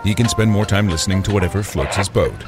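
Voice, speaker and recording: A man narrating a scripted comic advert in a deadpan manner. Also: low-pitched, fast. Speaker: advert announcer. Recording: music bed behind.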